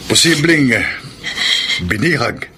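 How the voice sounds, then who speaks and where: A man playing a grim, halting military officer in a Filipino radio drama. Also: mid-range voice, big pitch swings, pushed and bright, a little hiss or hum, exaggerated voice. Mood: grave. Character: grim male military officer delivering reports.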